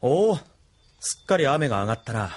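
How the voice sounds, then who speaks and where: An actor voicing a cold, ambitious young officer who speaks in a cool, detached way, in a studio radio drama. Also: male voice, mid-range voice, very animated, brisk, a little hiss or hum, natural voice. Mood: smug. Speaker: military officer and pilot.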